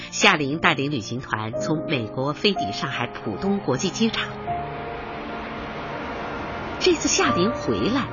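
A man narrating a radio drama in a measured, dramatic storytelling manner. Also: a little high, muffled recording.

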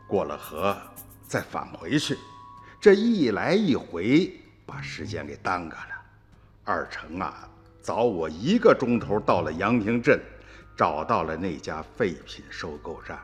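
Low, expressive storytelling father. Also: unhurried, long reverb tail, faint music behind. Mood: reflective. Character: upright, watchful father recounting his memories. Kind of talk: dramatic first-person narration, radio drama.

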